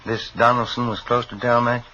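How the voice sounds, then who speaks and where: An actor playing an easygoing, plainspoken police detective in a 1950s radio crime drama, with a terse, folksy, low-key manner. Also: male voice, flat delivery, moderate pace, pushed and bright, slight background noise, natural voice. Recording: dull vintage radio recording, music behind.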